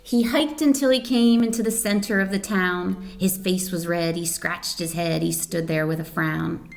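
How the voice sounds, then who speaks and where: Expressive woman reading a children's picture book. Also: fairly low pitch, normal speed, creaky. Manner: expressive, dramatic reading with questions to young listeners. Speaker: volunteer storyteller.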